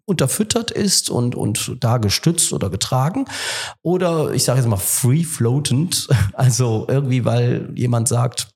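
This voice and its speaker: A man hosting a relaxed kitchen-table podcast in a rectory kitchen. He has a head cold and thinks aloud, pausing often with fillers. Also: medium pitch, slightly rough. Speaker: podcast host. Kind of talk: casual conversation.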